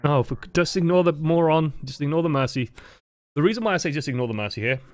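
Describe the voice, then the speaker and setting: A man's voice: on the high side, lively intonation, quick pace, no echo. A man with a dry voice, giving casual, explanatory coaching commentary over gameplay footage. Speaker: game coach.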